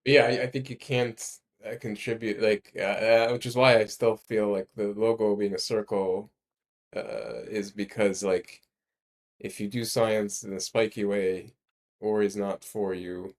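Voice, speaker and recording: Low-voiced man explaining ideas haltingly. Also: little pitch movement. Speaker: speaker explaining a research ranking system. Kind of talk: casual conceptual discussion.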